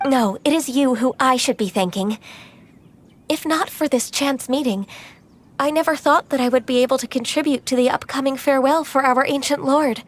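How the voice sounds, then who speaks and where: A woman's voice: sits high, moderate pace. A woman voicing a polite young townswoman who speaks in a courteous, formal way. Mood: gracious. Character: polite young woman of the harbor town, gracious.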